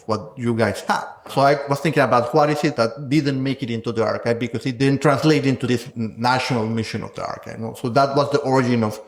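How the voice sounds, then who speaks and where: A man speaking as a guest scholar in an interview, measured and thoughtful, often ending points with a questioning "no?". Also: mid-range voice, roomy sound. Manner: thoughtful, measured, tags statements with "no?". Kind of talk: conversational interview.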